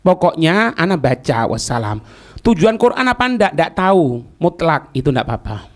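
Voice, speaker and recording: A man giving an Islamic lesson, reading Arabic phrases and explaining them in deliberate, halting phrases. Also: on the high side, very animated. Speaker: Islamic teacher.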